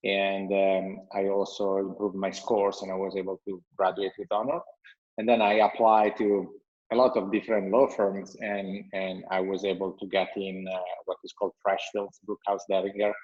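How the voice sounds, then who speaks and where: A man in his thirties from Italy with an Italian accent, a guest on a video call interview, speaking in a measured way with many restarts. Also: low voice, monotone, a little mellow. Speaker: guest, company founder. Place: bright room at home with a window. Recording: dull, lo-fi call audio.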